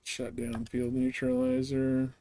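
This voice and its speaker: A man with a mid-pitched voice talks casually on a gaming livestream. He rambles and thinks out loud as he plays, in the loose, conversational way of someone chatting to viewers. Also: monotone, slow. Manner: rambling, thinking aloud while playing. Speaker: video game livestreamer. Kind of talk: casual gaming stream chat.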